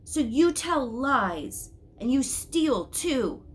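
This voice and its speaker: A woman reading a storybook, voicing an earnest young schoolboy who is easily outraged, with emphatic, deliberate delivery. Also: high-pitched, very animated, pitched above the performer's own voice, full character voice. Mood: furious.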